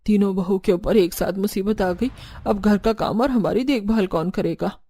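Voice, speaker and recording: A woman's voice: mid-range voice, normal speed, mellow, close to an everyday voice. A woman playing an aging, weary, complaining mother-in-law in a cartoon. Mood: bossy worry. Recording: background music.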